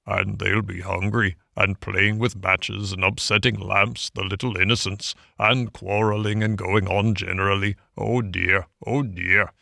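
A man's voice: lowish voice, flat delivery, unhurried, creaky, hardly any pauses, sharp tone, no room sound, studio quality, full character voice. Man voicing a tearful toad posing as a washerwoman. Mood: tearful, pleading. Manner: tearful, wheedling lament. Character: self-pitying toad posing as a poor washerwoman.

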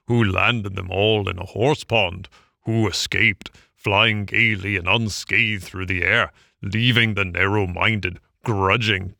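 A conceited, reckless male toad gloats over his own cleverness in a storybook tale, as a man voices him. The voice sits low with a gravelly edge. The sound is dry and close. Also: sharp tone, no room sound, exaggerated voice. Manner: gloating, self-admiring.

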